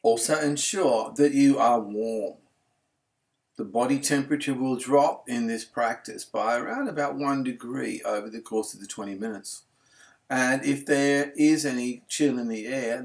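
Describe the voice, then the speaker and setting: Calm male yoga nidra guide. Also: medium pitch. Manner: calm, soothing, unhurried with gentle phrasing. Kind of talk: guided relaxation meditation instruction.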